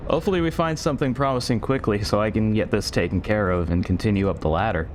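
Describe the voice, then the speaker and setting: An ambitious military research officer played by a man with a lowish voice. He sounds self-assured, measured and articulate, as in a sci-fi audio drama, over faint background noise. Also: lightly acted. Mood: confident, wry.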